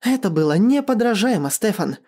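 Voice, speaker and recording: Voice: mid-range voice, a little fast, a little mellow, pitched above the performer's own voice, natural voice. A performer voicing a brazen young woman in an audiobook story, defiant, smug and taunting without shame. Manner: provocative, taunting.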